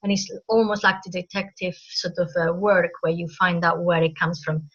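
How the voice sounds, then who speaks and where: A woman's voice: fairly low pitch, steady tone, normal speed, fairly bright tone, husky. A woman introducing herself as a counselor and hypnotherapist, explaining her work steadily over a video call. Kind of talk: networking self-introduction. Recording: muffled video call audio.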